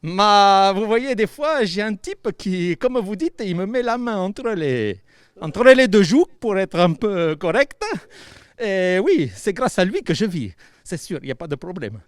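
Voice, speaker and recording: A man's voice: sits high, big pitch swings, measured pace, smooth, no echo, clean studio sound. A man voicing a stammering puppet chef with a fake Italian accent. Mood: cheery. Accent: put-on Italian. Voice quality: stammering, bubbly. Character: cheerful mustached male puppet chef, boastful. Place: outdoors by the seafront.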